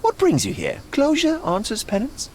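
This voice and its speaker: A man's voice: very high-pitched, huge pitch swings, normal speed, a little mellow, full character voice. A genteel, theatrical male art dealer for a game cutscene. His florid, teasing phrases rise and fall dramatically, with a sly, probing undertone. Faint ambient noise sits behind the voice. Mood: musing, probing. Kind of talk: video game cutscene acting.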